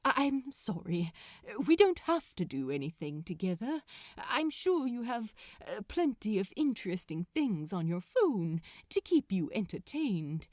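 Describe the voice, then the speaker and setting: A woman plays a prim male angel in a story reading. He is polite and easily flustered, choosing words precisely, with animated pitch and an unhurried pace. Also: dry recording, clean studio sound. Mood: flustered, anxious. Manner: hesitant, proper, precise wording.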